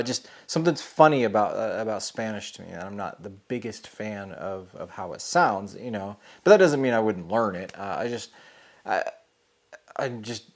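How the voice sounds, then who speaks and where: A middle-aged man, hosting a solo podcast and thinking aloud, with many fillers and restarts. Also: fairly low pitch. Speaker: podcast host; programming teacher. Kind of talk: casual solo podcast rambling.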